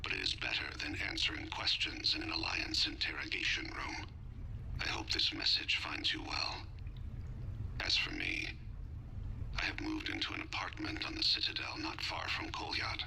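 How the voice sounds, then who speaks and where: A dying reptilian alien assassin in a video game, with a very deep, gravelly voice that stays hushed and breathy. His formal, measured lines sound bright and keen at the edges, and they still rise and fall freely. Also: sing-song, a little hiss or hum, exaggerated voice, rough recording. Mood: quiet, wistful. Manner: measured, formal, softly reflective.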